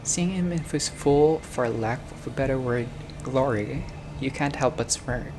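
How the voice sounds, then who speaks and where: A man's voice: medium pitch, measured pace, noisy background. A man doing a game playthrough, reading narration aloud and dropping in casual reactions. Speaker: gamer playing a visual novel.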